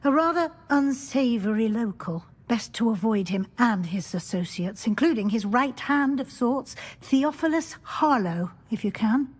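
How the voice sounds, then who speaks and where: Rich: A brisk, stern older professor of magic. She hands out orders with dry wit. Her voice sits a touch high for a woman. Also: lively intonation. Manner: crisp, wry, authoritative. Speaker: deputy headmistress.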